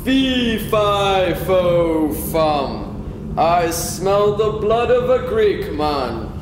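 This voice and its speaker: A man voicing a menacing, boastful giant Cyclops in an amateur puppet show, with a slow, taunting, declamatory delivery. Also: high-pitched, big pitch swings, exaggerated voice. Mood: threatening. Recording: echoey hall with background noise.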